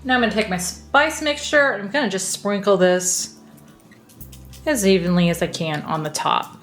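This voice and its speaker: A woman talks through a home baking recipe, one easy step at a time, in a relaxed, chatty way. Her voice sits in the middle of the range. The kitchen gives it an echoey ring, with soft music under it. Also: mid-range voice, normal speed. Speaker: cooking channel host. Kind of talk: casual baking demonstration.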